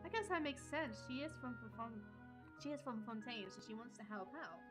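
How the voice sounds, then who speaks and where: Female game streamer, high voice, casual live chat and reactions, game music clearly behind. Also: animated, a little fast.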